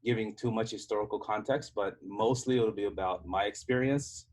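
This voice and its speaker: A man's voice: lowish voice, moderate pace, a little mellow. A man with an American accent speaking casually on an online panel, explaining conversationally, restarting sentences and checking in with "right?". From United States. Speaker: panel speaker, software engineer. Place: hotel room, online call.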